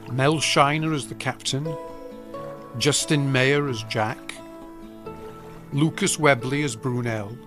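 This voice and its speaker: A man giving scripted narration as the narrator of an audio drama. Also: mid-range voice.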